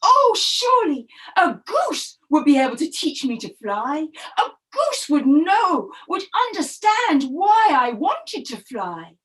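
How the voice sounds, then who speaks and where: A woman's voice: high voice, sing-song, slow, a bit bright, heavily acted. A woman storyteller voicing a hopeful little male turtle who pleads eagerly and sounds breathless with wonder. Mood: pleading.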